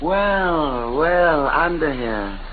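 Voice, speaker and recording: An actor playing a contented, dazed professor, mild and dreamy, in a 1950s radio drama. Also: male voice, on the high side, lively intonation, extremely slow, narrow, tinny audio. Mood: dazed contentment. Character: contented, dazed older professor, blandly happy. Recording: scratchy, band-limited 1950 radio broadcast.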